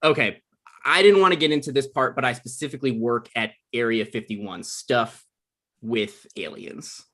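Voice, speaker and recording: A man's voice: mid-range voice, moderate pace, fairly bright tone, close to an everyday voice. A man reading the part of an awkward, evasive man in his mid-thirties bluffing through a date in a comedy table read. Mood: earnest bluffing.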